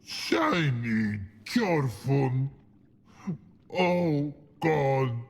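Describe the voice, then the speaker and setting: An actor playing a huge, childlike, dim-witted troll who speaks in broken, halting fragments with a gravelly voice. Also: male voice, medium pitch, lively intonation, full character voice. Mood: glum, confused.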